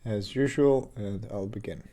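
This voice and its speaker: Guy on a dev stream, slow and rambly, still a bit sick w a cough, desk mic at home. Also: on the low side. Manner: relaxed, rambling, many fillers and restarts. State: recovering from illness, slight cough. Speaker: game developer streaming his work. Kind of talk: casual live-stream chat.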